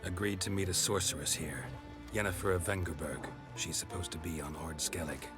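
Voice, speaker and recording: Male actor as stoic monster-hunting drifter, very low gravelly voice, terse, deadpan, fantasy game dialogue. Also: brisk, music in the background, lightly acted. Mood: dry, guarded.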